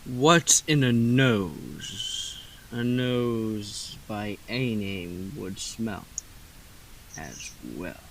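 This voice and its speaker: Tragic reindeer male actor: brooding Shakespearean melodrama, drawn out to a crawl, with a sharp, intense edge. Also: fairly low pitch, lively intonation, extremely slow, gravelly, heavily acted. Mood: forlorn musing. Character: tormented, self-pitying young reindeer as tragic hero. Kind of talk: mock-Shakespearean radio play acting.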